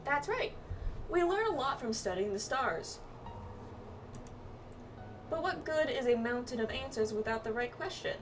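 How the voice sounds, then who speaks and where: A woman plays a confident, teasing astrologer guide from a fantasy video game. The voice is fairly high and very animated, chatty and self-assured. Music plays clearly behind it. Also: a little slow, long pauses. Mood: playful, boastful. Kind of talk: reading video game quest dialogue aloud. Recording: distant mic, music behind.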